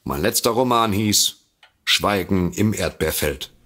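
An actor playing a droll, self-satisfied writer and sidekick in a comedic audio drama, teasing with drawn-out emphasis. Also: male voice, low voice, animated, fairly bright tone, full character voice. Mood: dry.

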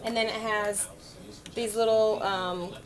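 A woman's voice: medium pitch, steady tone, slow pace, rough recording. A woman in her thirties, a mother at a kitchen table at home, patiently walking her young daughter through a board game, reading the rules aloud and counting the moves.

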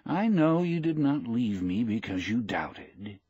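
A man's voice: medium pitch, big pitch swings, measured pace, a little mellow, breathy edge, no echo, muffled recording. Man voicing a proud, weathered old fisherman. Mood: gentle, resigned. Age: older.

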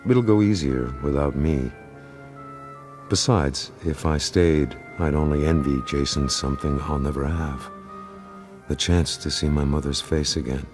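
A male actor plays a brooding masked crimefighter in a motion comic. The voice is low, terse and grave, held tightly in control over background music. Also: a little slow. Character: stern, brooding male masked vigilante in adulthood. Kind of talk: motion comic dialogue and inner narration.

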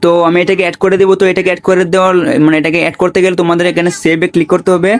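A man's voice: high voice, level tone, rapid-fire, slight background noise. A man giving an informal step-by-step tutorial, often checking in with repeated tags. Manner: repetitive, with frequent check-in tags. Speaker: gaming tutorial creator.

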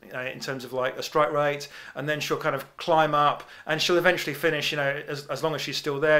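A man's voice: a little high, bright, forceful tone. A man, co-hosting a casual sports podcast and explaining things in loose, approximate terms. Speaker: podcast co-host and analyst.

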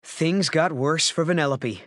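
A man narrating a children's story from a script, with expressive storytelling emphasis. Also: on the high side, unhurried, no echo, clean studio sound.

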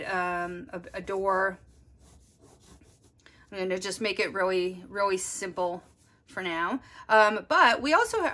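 A woman's voice: mid-range voice, bright, forceful tone. A woman art teacher giving a step-by-step drawing lesson, explaining as she works and thinking aloud. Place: desk, overhead camera on drawing.

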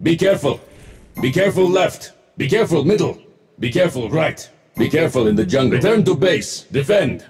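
A man's voice: a little high, lively intonation, fairly quick, a crowd in the background, natural voice. A man voicing a smug, wisecracking futuristic mech-suit warrior for a video game, in punchy, boastful lines. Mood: commanding. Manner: boastful, punchy, clipped callouts. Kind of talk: video game voice pack barks.

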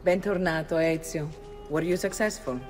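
A woman's voice: on the low side, moderate pace, a little mellow, faint music behind, lightly acted. A woman with an Italian accent playing a composed, shrewd madam who gives counsel in a video game. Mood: solicitous. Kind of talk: dramatic video game acting.

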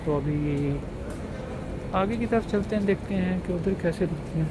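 Chatty man vlogging over loud street noise. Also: high-pitched. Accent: Pakistani Urdu. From Pakistan. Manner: chatty, loose, pauses often with fillers. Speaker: travel vlogger. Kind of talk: casual walking tour vlog. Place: outdoors at a large urban complex. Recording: loud outdoor noise behind voice. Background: loud urban street noise and traffic.

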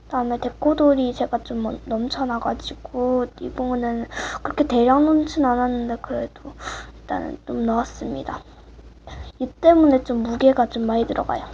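A teenage girl softly showing off swap items for viewers, her voice a little rough and her manner apologetic. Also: a little high, fairly even intonation, normal speed. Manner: soft-spoken, apologetic, hushed at times. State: voice a bit rough. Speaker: vlogger. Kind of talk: casual show-and-tell of swap items. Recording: muffled home recording with clear background hiss.

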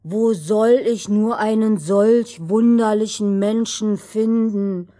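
A woman's voice: medium pitch, fairly even intonation, slow, mellow, natural voice. A woman voicing a poor, simple, good-natured young lad in a fairy tale, naive and wistful. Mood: forlorn.

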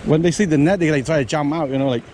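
A man giving excited running commentary while he fishes from a riverbank, talking to himself and repeating words. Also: a little high, very fast. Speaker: fishing vlogger. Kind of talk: live commentary while fishing. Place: outdoors on a riverbank. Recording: outdoor recording with steady background noise.

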